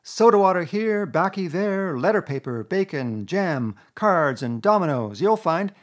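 A man voicing a boastful, excitable male toad in a storybook reading, loud and gushing. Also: fairly high pitch, moderate pace, pitched above the performer's own voice.

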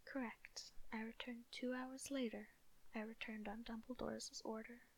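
A cold, sardonic male wizard, measured and smooth, voiced by a woman who keeps it to a breathy, slightly gravelly whisper. The delivery sits a little high. It is a soft storybook reading. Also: little pitch movement. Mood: cool. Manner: whispered throughout. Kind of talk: whispered ASMR audiobook reading.

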